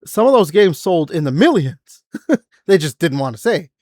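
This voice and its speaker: Forty-ish guy rambling about games on a podcast, kinda high voice, dry close mic, swears a bit. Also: very animated, normal speed, a little mellow, dry recording. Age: 40s. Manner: rambling, swears, filler-heavy. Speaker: podcast host. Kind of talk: casual gaming news chat.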